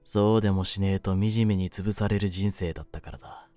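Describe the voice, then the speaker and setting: A man playing a world-weary, lonely young mafioso in an intimate roleplay audio, speaking in a low voice with rough streetwise phrasing. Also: steady tone, brisk, no echo, faint music behind, natural voice. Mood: melancholy, confessional. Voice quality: low-pitched voice. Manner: rough, streetwise masculine speech, brooding and soft-spoken. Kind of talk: intimate Japanese situation-voice roleplay, ASMR-like.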